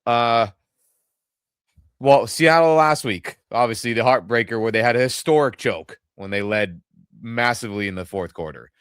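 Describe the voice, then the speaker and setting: A man's voice: mid-range voice, normal speed, smooth, dry recording, clean studio sound. A man co-hosting a sports podcast with a head cold and a nasal voice, venting about his team's loss. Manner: irritated, venting, animated. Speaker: podcast co-host. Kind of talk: casual sports reaction chat. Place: home in Los Angeles. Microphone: webcam setup.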